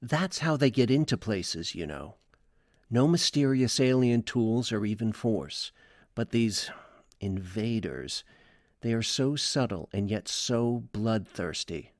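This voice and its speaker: An actor as a guarded academic man in a sci-fi radio play. He talks slowly and formally, with wide, expressive pitch movement, in a very dry recording. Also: no echo. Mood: grave warning. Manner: formal, evasive, deliberate. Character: reserved, wary male former philosophy professor back from away. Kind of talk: audio drama acting.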